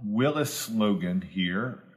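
A man's voice: on the low side, expressive, slow pace. A man, a pastor giving an earnest, homespun devotional talk that builds to emphatic repetition. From Southwest Virginia, US. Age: 40s.

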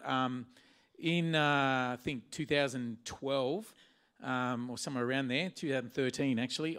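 A man speaking at a church service, with a mid-pitched voice. He talks in a relaxed, jokey way and leans on fillers as he goes. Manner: chatty and jokey, full of fillers. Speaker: church interview guest. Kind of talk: personal testimony.

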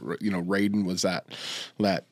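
A man's voice: sits low, flat delivery, moderate pace, studio quality. A man co-hosting a podcast, chatting casually in an eager, agreeable manner. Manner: agreeable, eager, often echoing the other speaker. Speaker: podcast co-host. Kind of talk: casual pop-culture chat about movies.

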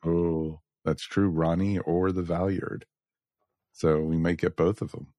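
A man's voice: low voice, level tone, measured pace, mellow, no echo. A man co-hosting a fan podcast in casual banter, chipping in with short comments. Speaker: podcast co-host.

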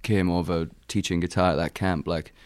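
A young man with a Welsh accent chats as a guest musician. His voice sits very low and comes through smooth and clear. He talks softly and haltingly, recorded dry with little room sound. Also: fairly even intonation, no room sound. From Wales. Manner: soft-spoken, halting, laughs often. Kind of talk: casual interview chat.

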